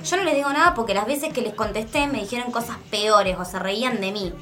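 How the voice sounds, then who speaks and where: Actress as a bright-voiced young Argentine student friend. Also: female voice, a little high, a little fast, soft background music. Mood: weary. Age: young adult. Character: sympathetic young female student, fed up with catcalls. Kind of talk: naturalistic radio drama dialogue.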